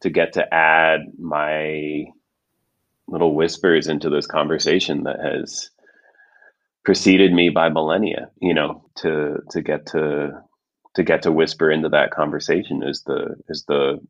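A man's voice: a bass voice, level tone, unhurried, muffled recording. A man from Iran, a poet guest, talking in an earnest, thoughtful way with lots of fillers. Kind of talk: reflective conversation.

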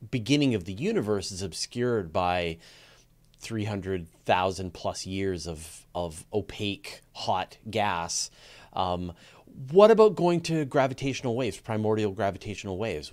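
Low-voiced male science podcast host. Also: expressive, moderate pace, a little mellow. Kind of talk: conversational science interview.

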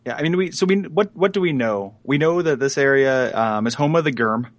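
A man's voice: medium pitch, fairly quick, no room sound. A man hosting a podcast while sick, with a froggy voice, chatting casually and stammering now and then. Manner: stammering, giggly. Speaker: podcast host. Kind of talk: casual chat.

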